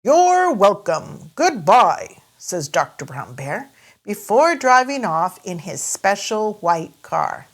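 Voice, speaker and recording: A woman's voice: lowish voice, big pitch swings, slow. A woman hosting a children's read-aloud, narrating expressively in a sing-song, careful way for small listeners. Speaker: storyteller and host.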